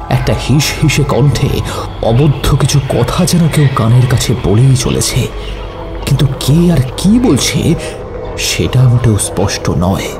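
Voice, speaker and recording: Male audio-story narrator, medium pitch, dramatic and suspenseful, music bed behind.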